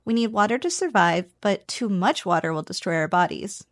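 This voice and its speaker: A woman's voice: mid-range voice, expressive, brisk, dry recording, clean studio sound, close to an everyday voice. A woman playing a playful, teasing slime girl roommate in a casual, chatty ASMR roleplay. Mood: casual, explaining. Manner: teasing, chatty, conversational with chuckles. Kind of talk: ASMR roleplay, one-sided casual conversation.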